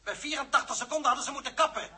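An actor playing an alarmed, exasperated observation officer with a piercing, tense voice in a 1960s radio drama. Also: male voice, very high-pitched, rapid-fire, very noisy. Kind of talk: 1960s Dutch science fiction radio drama.

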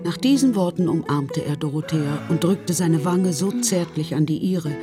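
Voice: medium pitch, moderate pace. A storyteller reading scripted literary narration for a radio drama, in the past tense. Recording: music behind the voice.

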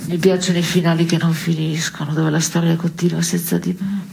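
Creaky-voiced, dry woman. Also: fairly low pitch, level tone, fairly quick, darkish tone, a little hiss or hum. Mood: deadpan. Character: dry, disillusioned woman answering her melancholy companion. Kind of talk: avant-garde radio theatre dialogue.

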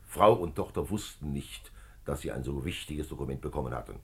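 An actor playing a calm, middle-aged male police commissioner in a 1950s German radio play, explaining in a measured, authoritative way. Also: extremely low pitch, breathy edge, full character voice. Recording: 1950s radio drama recording.

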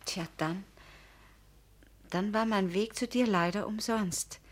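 A woman's voice: mid-range voice, takes it slowly. An actress playing a proud, frank young woman in a radio drama, direct and emphatic, with diction. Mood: drily pointed. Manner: direct, emphatic, pleading or drily pointed. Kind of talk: German radio drama acting.

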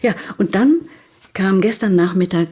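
A woman's voice: mid-range voice, animated, moderate pace, darkish tone, slightly rough. An actress playing a composed, strong-willed female client in a detective radio play, speaking with control and insistence. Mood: controlled concern. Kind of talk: German radio play acting.

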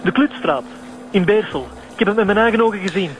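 High-pitched, furtive Flemish caller. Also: male voice, quick pace, faint music behind, poor audio. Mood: urgent. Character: furtive anonymous male caller reporting a body. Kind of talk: Flemish radio drama acting.

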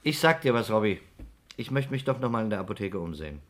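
An actor playing a thoughtful, persistent, quietly skeptical investigator in a 1960s German radio play, speaking in a conversational, probing way. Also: male voice, on the low side, big pitch swings, fast talker. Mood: businesslike.

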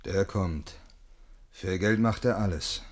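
A man's voice: low voice, takes it slowly. A man playing a mercenary, sly ex-clerk with a wry, obsequious manner in a German radio play. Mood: wry, wheedling. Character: shabby, mercenary ex-court clerk, sly go-between.

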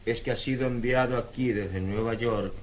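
A man's voice: medium pitch, steady tone, normal speed, a crowd in the background, natural voice, poor audio. A man acting in a radio drama as a formal, deferential household servant who announces things politely.

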